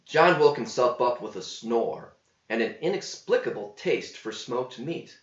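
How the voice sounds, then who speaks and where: Male narrator for epic verse, unhurried and measured, roomy slightly muffled sound. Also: medium pitch, animated. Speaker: author reading own verse epic. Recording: roomy, slightly dull home recording.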